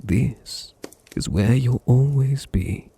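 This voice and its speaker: A man with a deep British voice playing a possessive, dominant vampire master in an intimate ASMR roleplay, teasing and condescending, with a gravelly, husky murmur. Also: mellow, heavily acted. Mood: tender, controlling. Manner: slow, teasing, condescending, drops into breathy murmurs.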